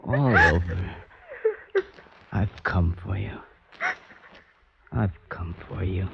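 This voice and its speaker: An actor playing a weary poet guitarist, narrating slowly and somberly in a 1950s radio drama. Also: male voice, deep voice, gravelly, heavy background noise, full character voice. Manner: slow, somber, reflective. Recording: dull old radio broadcast with music.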